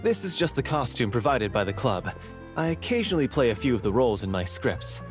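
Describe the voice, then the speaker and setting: A man's voice: medium pitch, big pitch swings, a little fast, over music, lightly acted. A man voicing a polite, modest, helpful script manager in a video game, courteous and explanatory.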